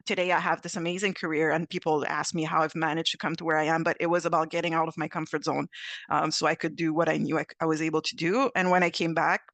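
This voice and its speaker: Bright, dry-voiced woman. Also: low voice, little pitch movement, fast talker, no echo. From Quebec, Canada. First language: French. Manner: candid, reflective, with frequent restarts. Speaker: panelist. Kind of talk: panel discussion over video call. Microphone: video call.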